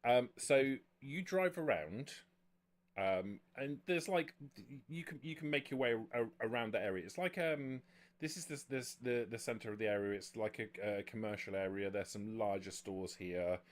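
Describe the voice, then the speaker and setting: A man running a tabletop game as game master, improvising narration in a halting way with repeated words. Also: medium pitch. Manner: halting, frequent stammered word repeats.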